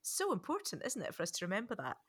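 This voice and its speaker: A woman's voice: medium pitch, wildly swooping pitch, fast talker, a bit bright, breathy edge. A woman hosting a podcast discussion in a casual, conversational style. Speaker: podcast host.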